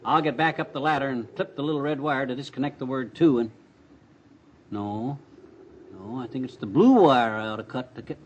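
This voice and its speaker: An actor playing a blustery, boastful bumbling husband in a 1950s American radio comedy, with a folksy, excitable manner. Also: male voice, mid-range voice, moderate pace, muffled recording, lightly acted. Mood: weary, muddled. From United States. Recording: noisy vintage 1950s radio recording.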